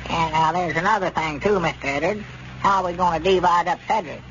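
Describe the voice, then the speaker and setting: A man's voice: high voice, a little fast, a bit bright. A man playing a suspicious, stubborn old storekeeper in a vintage radio comedy, with a folksy, querulous rural Southern drawl. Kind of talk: 1930s-40s radio comedy acting. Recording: muffled, noisy vintage radio recording.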